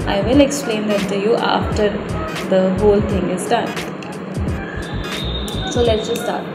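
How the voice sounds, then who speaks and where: A young woman, casually talking viewers through a design tutorial with many fillers and restarts. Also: a little high. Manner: chatty, direct address with many fillers and restarts. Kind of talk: casual screen-recorded tutorial. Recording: roomy voice-over with loud background music.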